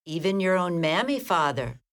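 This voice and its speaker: Woman as cheeky, low-voiced Irish postal worker. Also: sing-song, moderate pace. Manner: cheeky, defiant. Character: defiant, sharp-tongued young Irish postal worker woman.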